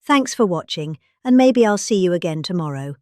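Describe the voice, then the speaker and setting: A woman's voice: lowish voice, expressive, moderate pace, dark tone, no room sound, clean studio sound. A middle-aged British woman reading a speech aloud in a theatrical, conversational manner, posing rhetorical questions as she goes. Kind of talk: dramatic read-aloud of a speech transcript.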